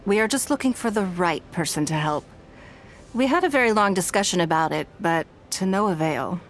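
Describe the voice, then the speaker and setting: A poised, commanding noblewoman from a game, voiced by a woman. She speaks formally and graciously, in a lowish, steady voice. Soft music plays behind her. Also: natural voice. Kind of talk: game voice acting.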